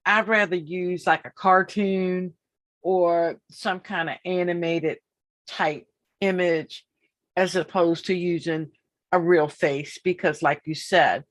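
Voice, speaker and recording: A woman in her fifties hosting an interview, talking in a slow, emphatic way and repeating her points. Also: lowish voice, steady tone. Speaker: podcast host. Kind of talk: casual interview.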